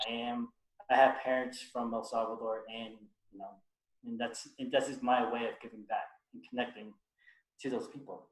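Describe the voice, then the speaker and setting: A man from the United States speaking earnestly about his heritage on a video call, halting with many restarts. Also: mid-range voice, roomy sound, poor audio. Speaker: guest speaker. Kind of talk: reflective personal talk on a video call.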